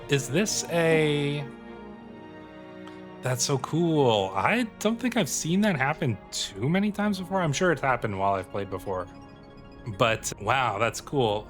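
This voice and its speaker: Man, casual gameplay commentary, video host, middle-pitched voice, clear music behind. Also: a bit bright. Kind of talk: casual gameplay commentary with read-aloud in-game text.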